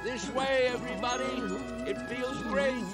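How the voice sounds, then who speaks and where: A man's voice: extremely high pitch, fairly even intonation, normal speed, loud music behind the voice. An actor playing a spirited, pleading old man in a radio drama, earnest, coaxing and dreamy in his delivery. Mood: gleeful.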